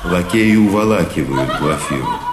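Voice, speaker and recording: A man's voice: deep voice, expressive, normal speed, reverberant, big-room sound, distant mic, very noisy. A man reading scripted narration of stage action.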